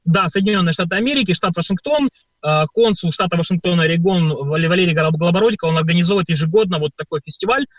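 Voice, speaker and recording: A man from Ukraine talks through his answers in a radio interview, pausing often on filler sounds as he tells his stories. His voice sits fairly high for a man. The recording is dry, with no room sound. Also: no echo. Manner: hesitant, many filler sounds, storytelling. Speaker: performer and guest.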